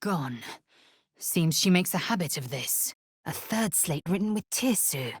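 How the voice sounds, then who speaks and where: Githyanki warrior woman: proud and imperious, low raspy voice, slow clipped commands, fantasy video game. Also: expressive, no echo, studio quality. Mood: measured, grave. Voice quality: husky, raspy. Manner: clipped, commanding, haughty, formal wording. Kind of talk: game voice acting, short scripted lines.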